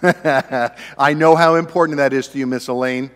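A man's voice: medium pitch, fairly even intonation, normal speed, big-room sound. A man in his fifties preaching a sermon as pastor, in a conversational way with chatty asides to the congregation. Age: 50s. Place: church sanctuary.

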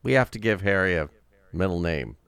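New york guy cohosting a podcast, bit of fry in the voice, chill chat, dry close sound. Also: sits low, animated, a little fast, a bit bright, no echo. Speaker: podcast co-host. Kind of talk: casual reactive chat.

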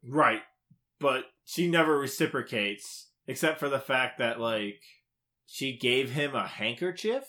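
A man's voice: mid-range voice, lively intonation, a little slow, bright, forceful tone. A man co-hosting a podcast, chatting casually about games with wry, conversational comments. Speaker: podcast co-host.

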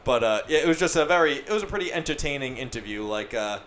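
A man's voice: medium pitch, expressive, fast, a bit bright, a little hiss or hum. A man hosting a podcast in casual chat, rambling, swearing freely, with many fillers. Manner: profane, rambling, filler-heavy. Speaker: podcast host.

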